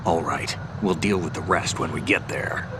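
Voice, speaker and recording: An actor playing a gruff, terse special-ops soldier with a deep gravelly voice in a game cutscene. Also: male voice, normal speed, noisy background, natural voice. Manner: terse, blunt.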